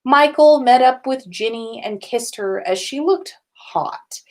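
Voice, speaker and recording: Female podcast host for informal story reading: fairly high voice, takes her time. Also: slow pace. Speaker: podcast host reading listener fan fiction aloud. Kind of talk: storytelling read-aloud, informal.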